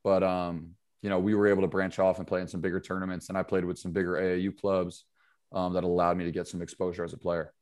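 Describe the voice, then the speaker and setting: A relaxed man from upstate New York chats as a guest on a basketball podcast, his voice sitting a little low. He talks loosely, leaning on fillers and easy asides. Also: fast talker, clear, resonant tone. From upstate New York, USA. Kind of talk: casual interview chat.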